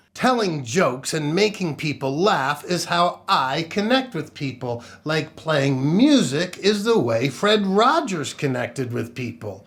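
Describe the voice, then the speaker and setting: A man hosting a children's storytime, reading aloud with expressive, drawn-out emphasis on key words. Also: fairly high pitch, breathy edge. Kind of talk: animated picture-book read-aloud for children. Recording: music playing behind the voice. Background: guitar music.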